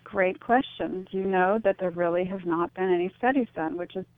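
A woman's voice: lowish voice, brisk, no room sound. A woman, speaking reflectively and tentatively as an interview guest over a phone-like line. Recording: thin, phone-like call-in line.

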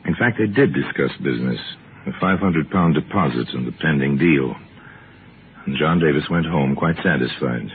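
An actor playing a grave, wry narrator of an old-time radio crime tale, speaking in a measured, dramatic way. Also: male voice, very deep voice, expressive, a little hiss or hum. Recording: lo-fi phone-like old radio recording, music.

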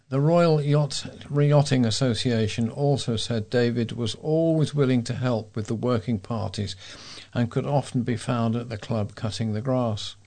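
A man reading scripted local news as a volunteer newsreader, in a measured way. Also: medium pitch, darkish tone.

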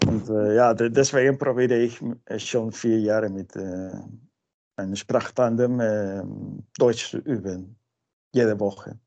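Slow, halting man with Peruvian Spanish accent. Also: lowish voice, fairly even intonation, darkish tone. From Peru. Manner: halting, searching for words. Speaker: interview guest. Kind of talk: informal interview answers in a learned language. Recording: dull lo-fi sound.

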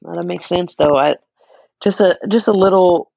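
A young man with a naturally raspy voice, chatting casually as a podcast co-host, laid-back and quick to laugh. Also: high voice, a little mellow, no room sound, lo-fi sound. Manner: laid-back, laughs often, many fillers. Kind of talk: casual chat.

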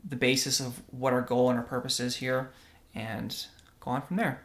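A man's voice: medium pitch, little pitch movement, normal speed, fairly bright tone. A young man from Russia co-hosting a casual religious podcast, earnest and often checking in with "right?". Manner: earnest, tag questions like "right?". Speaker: podcast co-host.

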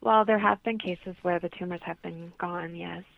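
A woman is being interviewed over a narrow, tinny phone line. Her voice sits fairly low and has a lot of creak. She explains her healing work in an earnest, conversational way. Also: fairly even intonation, moderate pace, dry recording. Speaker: interview guest, holistic healer.

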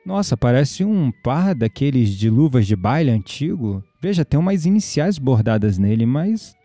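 Actor as inquisitive young Brazilian male companion, very dark warm tone, mid pitch, audio drama, soft music underneath. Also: sing-song, normal speed, dry recording, close to an everyday voice. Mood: intrigued, gently teasing. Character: intrigued, gently teasing male companion.

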